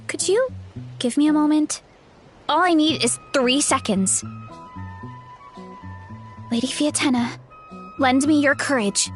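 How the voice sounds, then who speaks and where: Slow, high-voiced earnest young heroine. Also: female voice. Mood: resolute. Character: gentle, earnest young heroine gathering her courage. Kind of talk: video game voice acting.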